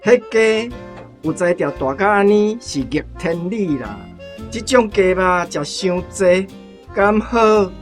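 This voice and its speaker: A woman's voice: medium pitch, animated, slow pace, soft background music. A woman playing an old country grandfather in a radio drama, opinionated and bluntly instructive, with a Taiwanese accent. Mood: disapproving.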